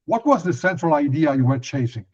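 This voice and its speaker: A man's voice: a little high, sing-song, a little fast. A man acting as interviewer, putting questions to a guest, with a dull, lo-fi sound.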